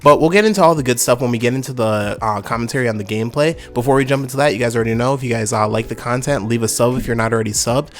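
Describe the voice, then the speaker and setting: A young man hosting a card game channel, giving casual gameplay commentary with a slightly nasal voice from a summer cold. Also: medium pitch. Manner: fast, upbeat, casual gamer talk.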